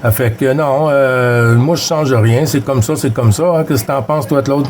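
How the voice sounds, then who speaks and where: A man's voice: mid-range voice, moderate pace, darkish tone. A middle-aged man with a Québécois French accent, chatting casually on CB radio with lots of fillers and restarts. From Quebec. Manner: rambling, many fillers and restarts. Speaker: CB radio operator.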